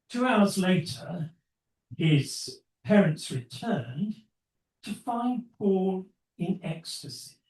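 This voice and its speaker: Slow, distant man introducing a speaker. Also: sits high, darkish tone. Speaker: club host introducing speaker. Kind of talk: prepared introduction. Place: lecture room. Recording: distant and echoey.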